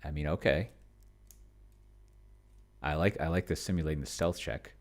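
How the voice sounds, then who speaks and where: A middle-aged man with a low voice talks casually as he plays a tabletop game on his own. He rambles and halts, half muttering his thoughts aloud, with computer keys clacking as he types. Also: fairly even intonation. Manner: rambling, halting, muttering to himself. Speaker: host of a solo roleplaying video. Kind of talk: casual thinking-aloud commentary while playing a tabletop game. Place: at home, at a computer.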